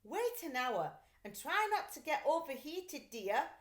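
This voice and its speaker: A woman voicing a brisk, protective mother in a children's story, with a proper, emphatic, motherly manner. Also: high voice, sing-song, roomy sound, voice pushed up. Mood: anxious, caring.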